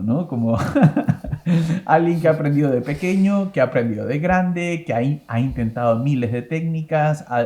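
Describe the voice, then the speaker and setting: A man's voice: mid-range voice, mellow. A man in his thirties hosting a casual interview, asking questions and rephrasing them as he goes. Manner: conversational, asks and rephrases questions. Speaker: host, interviewer. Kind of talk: casual interview, plus read sponsor ad.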